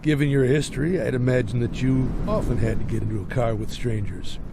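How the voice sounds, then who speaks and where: An actor playing a polite, shrewd and mysterious stranger in a game cutscene, speaking in a measured, dry way. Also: male voice, medium pitch, creaky, background noise, close to an everyday voice. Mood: dry, probing. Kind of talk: dramatic game cutscene dialogue.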